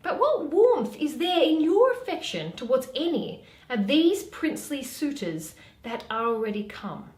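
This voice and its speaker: A woman acts out a loyal waiting-maid from classical theatre, sensible and gently advising. The voice is high and lilting, with slow, measured phrasing in an echoey room. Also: very animated. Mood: gently prompting. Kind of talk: theatrical Shakespeare reading.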